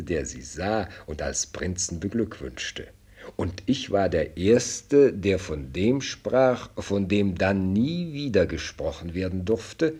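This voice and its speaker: An actor playing an elderly courtly statesman in a German radio drama, speaking solemnly and theatrically with a husky voice. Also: deep voice, a little mellow, full character voice. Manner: solemn, ceremonious, theatrical delivery. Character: elderly courtly statesman addressing his young prince.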